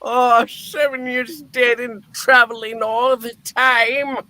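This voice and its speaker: A man's voice: very high voice, steady tone, slow pace, sharp tone, exaggerated voice. A man playing a frightened, pleading old miser in a comic radio play reading, with a flustered, theatrical manner. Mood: puzzled.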